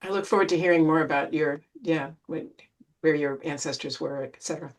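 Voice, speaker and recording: A woman's voice: sits low, fairly quick. An American woman from Pennsylvania, introducing herself casually as a guest author in a group conversation. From Pennsylvania, United States.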